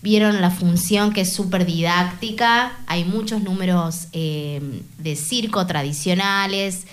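Circus acrobat lady on the radio, upbeat and eager, talking up the show. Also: on the low side. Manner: upbeat, enthusiastic promoter. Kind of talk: guest interview.

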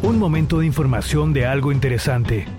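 A man's voice: medium pitch, lively intonation, a little fast, over music. A man reading a short scripted radio segment ident.

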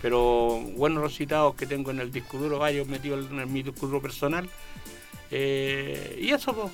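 An older Chilean man from a small coastal town, talking about his amateur theatre work in a halting, rambling way, with many restarts. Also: medium pitch, soft background music. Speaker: guest, local amateur actor. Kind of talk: casual interview chat.

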